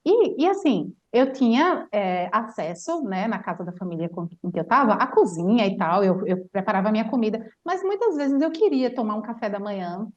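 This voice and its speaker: A woman with a Brazilian Portuguese accent, a language teacher telling a lively travel story in casual chat, full of fillers. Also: mid-range voice, moderate pace, few pauses, lo-fi sound. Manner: animated storyteller, chatty with many fillers.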